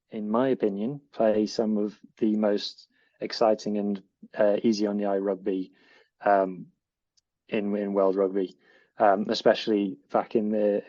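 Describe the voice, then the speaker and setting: A man's voice: on the low side, dark tone, no room sound, dull audio. A man with a Northwest English accent, co-presenting a podcast in an eager, rambling way. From northwest England. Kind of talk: casual podcast chat.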